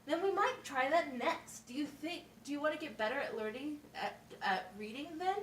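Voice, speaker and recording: A woman's voice: high voice, animated, moderate pace. A woman playing a patient, instructive schoolteacher in an amateur puppet show, explaining things simply and asking checking questions. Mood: encouraging, patient. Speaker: teacher. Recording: roomy, distant mic with faint noise.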